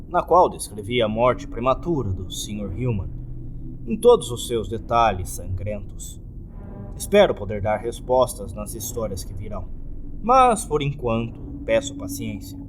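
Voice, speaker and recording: A Brazilian man voicing a gloomy, courteous hotel keeper in a formal, measured, scripted horror narration. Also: fairly low pitch. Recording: music under the voice.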